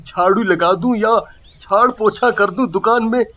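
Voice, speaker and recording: Man acting a poor, slow-spoken, high-voiced worried father. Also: fairly bright tone. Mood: pleading. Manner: slow, pleading, sorrowful. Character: poor, humble, worried middle-aged father, gentle and pleading. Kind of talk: Hindi moral story dramatization.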